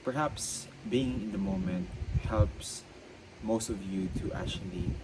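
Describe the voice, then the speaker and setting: A young man, a student, talking unscripted about self-control, with a stutter that makes him halt and repeat himself. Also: deep voice. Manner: stutters, halting and repetitive. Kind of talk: unscripted reflective monologue. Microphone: own mic. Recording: loud background noise.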